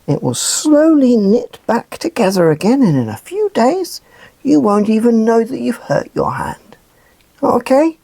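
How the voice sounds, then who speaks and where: A woman playing a gentle, caring adult in a children's puppet show, soothing a child with simple explanations. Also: on the high side, big pitch swings, unhurried, gravelly, no room sound, full character voice. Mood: gentle, instructive.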